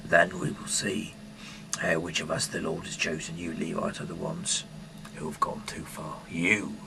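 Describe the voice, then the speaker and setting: Casting: male, very deep voice, bumbling irreverent amateur reader, stumbles and digresses, noisy homemade sound. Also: monotone, normal speed, creaky. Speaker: amateur reader of scripture, self-styled rock singer. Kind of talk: rambling, informal reading aloud with asides. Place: at home. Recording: noisy amateur home recording.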